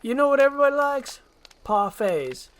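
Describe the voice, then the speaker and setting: A performer voicing an excitable male donkey sidekick in a fairy-tale roleplay, talkative, pestering and cheeky. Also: high voice, normal speed, pitched above the performer's own voice. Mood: eager.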